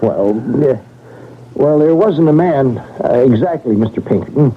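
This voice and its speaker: An actor playing a frightened baggage master with a husky voice, stammering and agitated, in old-time radio drama style. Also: male voice, medium pitch, lively intonation, a little slow. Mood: nervous, flustered. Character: frightened, flustered male baggage master on a train. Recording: tinny old radio recording.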